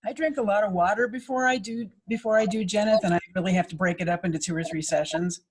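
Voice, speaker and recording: A woman's voice: mid-range voice, fast, a little hiss or hum. A woman, a voice actor, explaining her craft conversationally in a casual panel chat. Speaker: volunteer audio drama voice actor.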